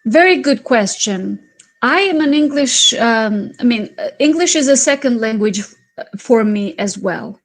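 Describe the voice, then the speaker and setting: A woman's voice: a little high, animated, unhurried. A woman whose first language is Albanian, answering questions thoughtfully as a speaker in an online conference. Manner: thoughtful, restarts and fillers, emphatic. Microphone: video call.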